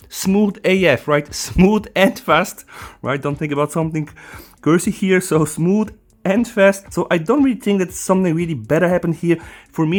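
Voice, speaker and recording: A man with a Bulgarian accent, a native Bulgarian speaker, hosting a casual tech review and talking fast, often checking in with "guys" and "right?". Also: a little high. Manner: chatty, keeps saying "guys" and "right?"; talks fast. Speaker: tech channel host.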